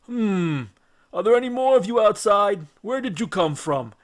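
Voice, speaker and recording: A man's voice: high-pitched, expressive, moderate pace, voice pushed up. A man voicing a greedy, brutish one-eyed giant in a children's storybook, boastful and taunting as he speaks. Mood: prying.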